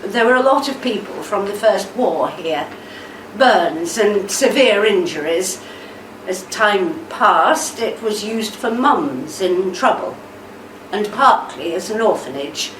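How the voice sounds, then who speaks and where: A woman acting tender wife, slow and coaxing, sorrowful, echoey distant recording, radio play. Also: medium pitch, expressive. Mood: grave. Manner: gentle, coaxing, deliberate. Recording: echoey room, distant mic, background noise.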